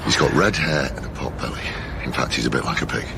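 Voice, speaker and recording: An actor playing a brusque, exasperated police detective in a radio drama, blunt and impatient. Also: male voice, a bass voice, fast, vocal fry, full character voice. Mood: terse, instructing. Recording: noisy ambient background.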